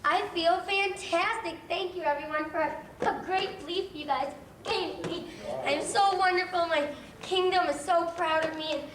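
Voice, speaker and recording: A woman's voice: very high voice, fairly even intonation, measured pace. A woman playing an earnest, proud young storybook king in a children's stage play. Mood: elated. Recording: very noisy echoey stage, distant mic.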